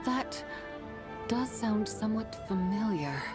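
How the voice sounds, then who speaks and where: A woman's voice: medium pitch, expressive, slow pace. A woman voicing a proud ancient immortal in a video game, speaking formally and grandly. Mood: lofty, pensive. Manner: formal, archaic, pompous declarations. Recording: game dialogue over background music.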